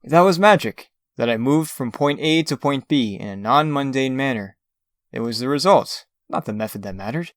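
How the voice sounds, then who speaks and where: A man's voice: mid-range voice, expressive, normal speed, no room sound, studio quality, lightly acted. A man playing a theatrical magician and escape artist in a comic dub, lecturing in a grandiose, urbane and condescending way. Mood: grand, proud.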